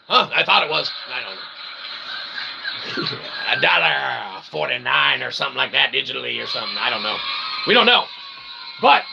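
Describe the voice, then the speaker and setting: A man hosting a comedy show in a rambling, mock-earnest monologue, halting and trailing off between phrases. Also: medium pitch, gravelly, noisy background. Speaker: comedy show host and author.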